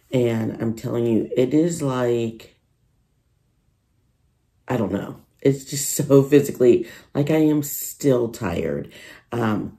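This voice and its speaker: A woman's voice: rumbling low, creaky, dark tone. A tired woman vlogger who is losing her voice, chatting casually and rambling to her viewers about her resale business. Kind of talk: casual vlog chat to viewers.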